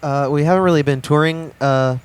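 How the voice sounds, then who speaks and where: Relaxed young man, flat smooth voice. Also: a little high, fairly quick. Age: 20s. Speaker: band member guest. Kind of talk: casual interview.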